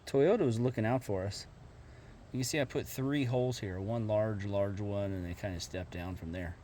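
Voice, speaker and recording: A man's voice: lowish voice, normal speed, warm tone, slight background noise. A man giving a casual how-to walkthrough of his own vehicle work, plainspoken and folksy, trailing off and restarting as he points things out, recorded outdoors on a camera mic while he moves around. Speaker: car modification vlogger.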